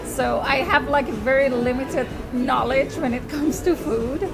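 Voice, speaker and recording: A woman from Iran chatting casually in a cafe, bubbly and quick to laugh, with lots of fillers. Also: high voice, fairly even intonation, rough recording. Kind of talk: casual chat. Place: busy cafe. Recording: loud music and crowd chatter. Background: loud music, crowd.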